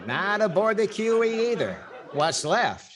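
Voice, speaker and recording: A man's voice: sits high, sing-song, measured pace, a bit bright, heavily acted. An older man playing a wry, inquisitive comedy host in 1940s radio style, echoing lines as straight man. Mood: curious, wry. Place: indoors on a video call.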